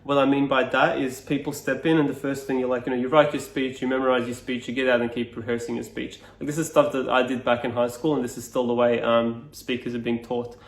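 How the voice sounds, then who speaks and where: A man's voice: medium pitch, little pitch movement, fast, hardly any pauses, reverberant. A man whose native tongue is Serbian, a speaking coach explaining his course to camera in a reflective, loose way, with many fillers and restarts.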